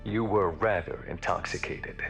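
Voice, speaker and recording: An actor playing a stern, honorable veteran alien admiral in a video game, speaking in a formal, measured, authoritative way. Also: male voice, deep voice, vocal fry, a bit bright, faint music behind, exaggerated voice. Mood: dry, polite. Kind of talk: video game cutscene acting.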